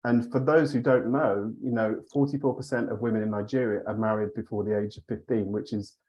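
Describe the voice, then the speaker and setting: Measured lower-voiced man. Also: fairly even intonation, dark tone, lo-fi sound. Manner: measured, hedging with "sort of" and "you know". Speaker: charity director. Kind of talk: panel overview remarks.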